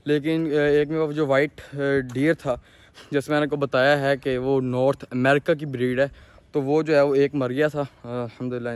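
A young man with a Pakistani accent, talking casually and haltingly to viewers as a farm-owning vlogger, outdoors on a phone. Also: medium pitch, no room sound. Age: young adult. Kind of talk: casual vlog tour, explaining to viewers. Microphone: handheld phone at arm's length.

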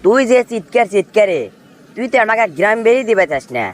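Man voicing a fast-talking, needling village freeloader. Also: high voice, animated. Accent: Bogura, northern Bangladesh. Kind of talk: comic cartoon dialogue in rural dialect.

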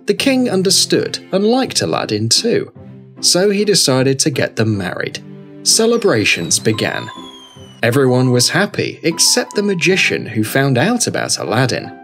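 A man's voice: mid-range voice, a bit bright. A man reading scripted narration for a children's fairy tale. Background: background music.

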